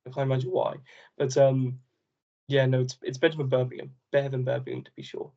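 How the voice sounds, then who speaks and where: A man chatting casually as an interview guest, talking in a rambling, animated way with lots of restarts. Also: mid-range voice, quick pace, gravelly, dull audio. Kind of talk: casual chat.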